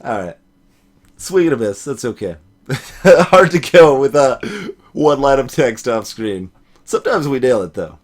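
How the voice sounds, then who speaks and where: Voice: mid-range voice, expressive, unhurried. A let's player thinking aloud and muttering asides while playing and voicing a video game. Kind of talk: gaming let's play commentary, reading game dialogue aloud.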